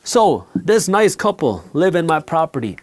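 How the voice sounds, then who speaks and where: Male finance explainer, first language Punjabi, upper-middle pitch, blunt and emphatic delivery. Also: big pitch swings, moderate pace. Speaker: finance educator and host. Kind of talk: explainer talk to camera.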